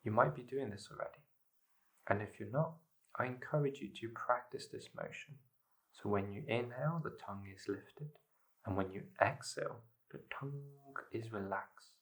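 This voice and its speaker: A man leading a guided meditation, giving measured instructions in short phrases with pauses between them. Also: mid-range voice, a bit bright, poor audio.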